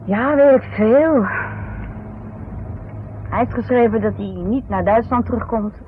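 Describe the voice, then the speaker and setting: An actress plays a high-pitched married woman in a 1970s radio play, irritable and quick to snap back in defence. She bickers with exasperation, heard through a thin, narrow-band recording with some noise. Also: natural voice. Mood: troubled, puzzled. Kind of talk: 1970s Dutch radio drama acting.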